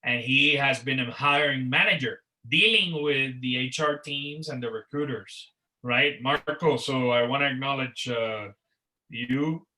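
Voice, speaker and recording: A man's voice: medium pitch, a little slow, pushed and bright, poor audio. A man who organizes a career network gives rambling closing remarks on a video call, repeating words and using many "uh" and "you know" fillers. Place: Miami.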